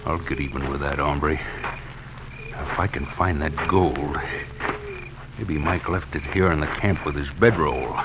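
An actor playing a greedy, spiteful outlaw who mutters in folksy western talk in an old-time radio drama. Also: male voice, rumbling low, slow, long pauses, exaggerated voice. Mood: scheming, resentful. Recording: very noisy vintage radio recording.

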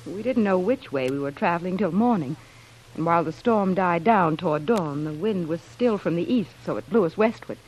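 A woman's voice: fairly low pitch, lively intonation, normal speed, darkish tone, natural voice. An actress playing a plucky shipwrecked wife in a vintage radio drama, telling her tale earnestly. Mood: earnest storytelling. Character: plucky shipwrecked young wife spinning a cover story. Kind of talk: 1940s radio acting. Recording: noisy vintage radio recording.

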